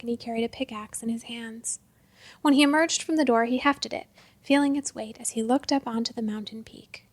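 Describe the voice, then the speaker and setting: A woman narrating a literary short story in a measured, expressive storytelling manner. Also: on the high side.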